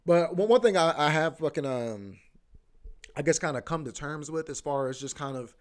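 A man's voice: a little high, moderate pace. A man hosting a podcast, rambling casually about sports, repeating himself, swearing and saying "bro" a lot. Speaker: podcast host.

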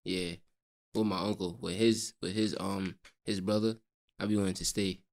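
A young man from Brooklyn, a DJ answering interview questions in a halting way, full of fillers. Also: low-pitched, steady tone, gravelly. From Brooklyn, New York. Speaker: guest, DJ and rapper.

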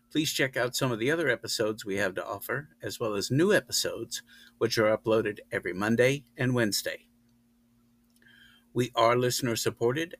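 A man's voice: mid-range voice, a bit bright. A man hosting a podcast, speaking casually to listeners. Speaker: podcast host.

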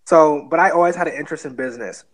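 Casting note: twenties Bahamian man, slightly high voice, relaxed podcast guest with fillers. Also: very fast. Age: 20s. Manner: relaxed, earnest, many fillers. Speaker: guest real estate investor. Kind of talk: podcast interview.

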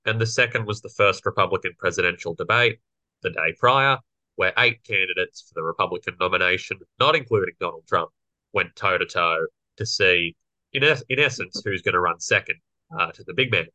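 A young man with a slightly croaky voice, co-hosting a casual political podcast and explaining legal points. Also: low-pitched, fairly quick, sharp tone, no echo, poor audio. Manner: explanatory, lawyerly. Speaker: podcast co-host.

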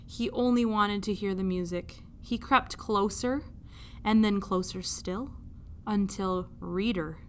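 A woman reading a children's story aloud like a teacher, with expressive narration. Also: mid-range voice, slow pace, slight background noise.

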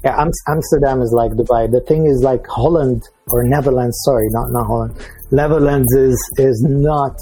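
Syrian man, dark husky voice. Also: mid-range voice, fairly even intonation, soft background music, rough recording. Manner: halting, many restarts and fillers. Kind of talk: casual conversation.

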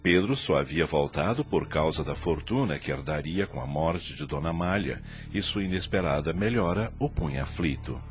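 A man narrating a radio drama in a measured storytelling tone. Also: rumbling low, animated.

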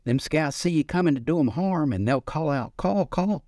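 A man's voice: on the high side, animated, moderate pace, a little mellow, no room sound, studio quality, pitched above the performer's own voice, close to an everyday voice. A man voicing a sly, forgetful old country grandfather who talks in folksy rural dialect for a story. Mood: sly, instructing.

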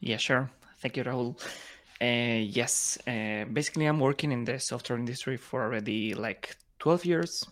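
Halting man. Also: medium pitch, fairly bright tone. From Ukraine. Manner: halting, repeats words as he thinks. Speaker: guest, software engineer. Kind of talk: casual interview chat.

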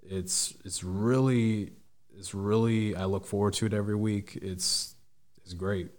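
A man in his twenties with a deep voice, a podcast guest speaking thoughtfully with frequent restarts. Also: steady tone, darkish tone. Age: 20s. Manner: thoughtful, halting, restarts sentences. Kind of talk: casual interview.

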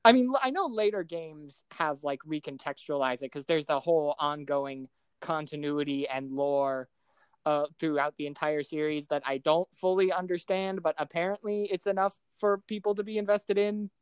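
A man co-hosting a pop culture podcast in a casual, animated way, laughing easily and often restarting his sentences. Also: fairly high pitch, normal speed, clear, resonant tone, dry recording. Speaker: podcast co-host.

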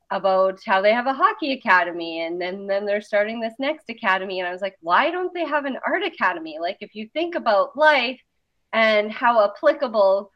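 Chatty lady talking casually, animated storyteller, kinda dull lofi audio. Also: mid-range voice. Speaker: artist and interviewer. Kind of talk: casual conversation about creative work. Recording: dull lo-fi sound.

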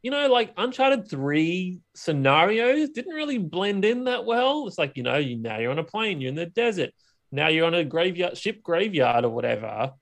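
A man with a slightly high voice talks as a podcast co-host in loose, casual conversation. He rambles in slangy, animated phrases, piecing his opinions together as he goes. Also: normal speed, gravelly. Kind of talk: casual film discussion.

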